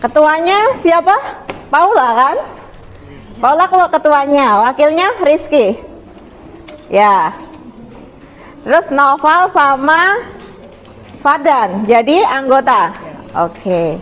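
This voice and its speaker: A woman teacher speaks. She guides pupils informally, giving gentle instructions and checking in with short questions. The room rings with reverb and there is some background noise. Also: high voice, very animated, takes it slowly, long pauses. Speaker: school teacher guiding student groups. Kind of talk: informal classroom coaching. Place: indoor school classroom.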